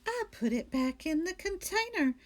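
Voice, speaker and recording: A woman's voice: very high-pitched, very animated, moderate pace, a little mellow, pitched above the performer's own voice. A woman voicing a cheerful, eager, literal-minded housemaid in a children's storybook, earnest and pleased with herself. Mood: proud, eager. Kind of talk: children's storybook character read aloud.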